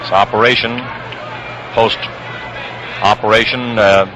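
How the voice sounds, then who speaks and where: A man calling baseball play-by-play for 1960s radio in measured, descriptive phrases. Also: lowish voice, steady tone, highs cut off. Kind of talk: 1960s radio sports commentary. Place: outdoor ballpark broadcast position. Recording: noisy vintage radio broadcast, ballpark outdoors.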